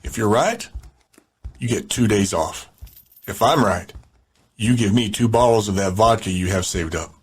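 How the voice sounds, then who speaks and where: A man's voice: lowish voice, animated, normal speed, pauses often, husky. A man playing a sadistic gang boss and interrogator in an audio drama, mocking, menacing and controlled. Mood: smug, amused.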